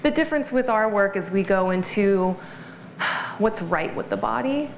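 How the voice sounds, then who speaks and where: A woman's voice: medium pitch, a little hiss or hum. Earnest female guest, echoey room. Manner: affirming, earnest, explanatory. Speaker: guest practitioner. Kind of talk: interview conversation.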